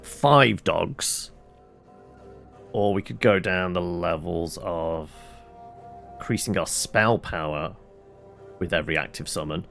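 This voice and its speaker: Guy streaming a game, bit gravelly, unrushed, musing out loud to himself, casual, quiet music under it. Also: sits low, slow, pauses often. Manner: musing, asks and answers own questions. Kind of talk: casual gameplay commentary, thinking aloud.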